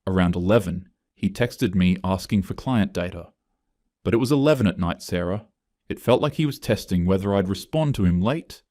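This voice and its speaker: A man plays an upset woman from an office drama story. She speaks in a deep register, anxious and halting, as if confiding something that frightens her. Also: animated, dark tone, no echo, studio quality. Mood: uneasy, confiding. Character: upset, fearful young female office complainant.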